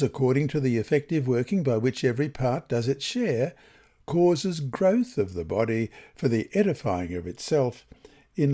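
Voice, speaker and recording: A man reads a scripted religious lesson in a deliberate, expressive manner with measured phrasing. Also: mid-range voice. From Australia. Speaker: reader of Bible study lessons.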